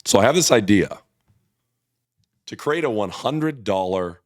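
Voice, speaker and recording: Brief: slightly hoarse male YouTuber, low voice, blunt casual explainer, dry close mic. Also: expressive, unhurried, no echo. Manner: blunt, conversational, frequent restarts. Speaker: options trading YouTuber. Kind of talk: casual direct-to-camera explainer.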